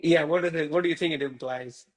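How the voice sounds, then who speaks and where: A man's voice: on the high side, fast. A man from India teaching a Hindi class over a video call, rambling and full of fillers like "you know".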